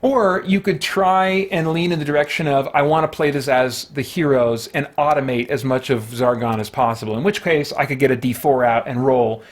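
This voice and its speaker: A man's voice: medium pitch, fairly even intonation, normal speed, few pauses. A man hosting a solo tabletop game, casually narrating his moves and talking himself through rules and choices. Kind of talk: casual play-by-play, thinking aloud.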